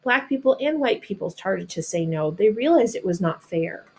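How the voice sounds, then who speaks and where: A woman reads a children's picture book aloud, deliberately, adding simple explanations in her own words as if talking to children. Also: mid-range voice, lively intonation, darkish tone, husky. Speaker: storybook reader.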